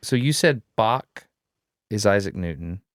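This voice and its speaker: A man's voice: lowish voice, expressive, a little slow, no echo. A man co-hosting a podcast, asking curious, playful follow-up questions into a close mic. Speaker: podcast co-host. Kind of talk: casual podcast chat.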